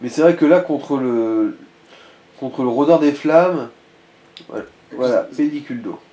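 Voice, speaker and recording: A man's voice: mid-range voice, unhurried, dark tone, reverberant. A man giving casual game advice in an informal, crude-mouthed way. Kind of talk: casual gaming chat.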